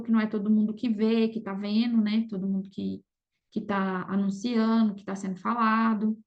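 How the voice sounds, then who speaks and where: A woman with a Brazilian Portuguese accent giving casual advice as a podcast guest, informal, often checking that she is understood, on slightly lo-fi audio. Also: mid-range voice. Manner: informal, frequent check-in tag questions. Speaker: podcast guest, affiliate marketer.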